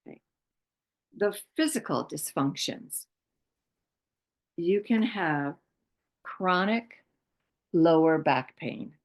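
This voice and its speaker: Slow, low-voiced woman teaching a workshop. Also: lively intonation. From Poland. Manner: slow, halting, with many pauses and fillers. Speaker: chakra workshop presenter. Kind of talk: unscripted spiritual talk.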